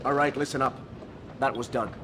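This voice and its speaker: A man's voice: mid-range voice, fairly even intonation, normal speed, noisy background, lightly acted. A man playing a brusque crew leader who gives orders to his criminal underlings in a video game. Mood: tense, serious. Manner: brusque, commanding.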